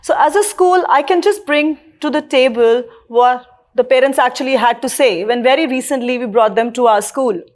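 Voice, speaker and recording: A woman whose first language is Punjabi, speaking as a school leader on a panel, calmly recounting what parents told her. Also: sits high, moderate pace. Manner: reflective, relays parents' views. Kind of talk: panel discussion.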